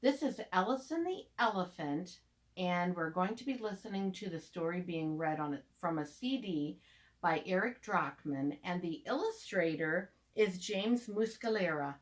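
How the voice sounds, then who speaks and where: A woman's voice: lowish voice, animated, moderate pace. A woman hosting children's storytime, giving a simple spoken introduction to a story.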